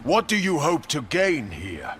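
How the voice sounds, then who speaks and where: A stern warrior commander speaks slowly and forcefully. He is defiant and contemptuous, every word emphatic, as in a game cinematic. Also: fairly high pitch, wildly swooping pitch, slight background noise, full character voice. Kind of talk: dramatic game cinematic acting.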